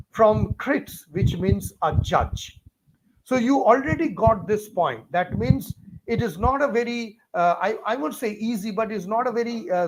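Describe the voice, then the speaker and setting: Indian English male teacher, fairly high-pitched, emphatic and repetitive, noisy live-stream audio. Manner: emphatic, repetitive, talks straight to viewers by name. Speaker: physics teacher hosting a live class.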